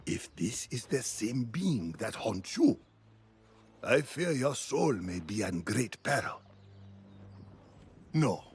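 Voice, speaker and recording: A wise old mentor of an ancient order in a fantasy game. He speaks slowly and solemnly, with weighty pauses and a creaky, weathered edge. His pitch rises and falls expressively over soft music. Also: heavily acted. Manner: measured, deliberate, solemn, with pauses. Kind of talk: dramatic fantasy game voice acting. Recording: music behind the voice.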